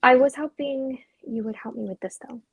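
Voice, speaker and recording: A woman's voice: high-pitched, normal speed, husky, lo-fi sound. A woman acting a restless, searching female character who longs for change, in a dramatic script reading. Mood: gentle, resolved.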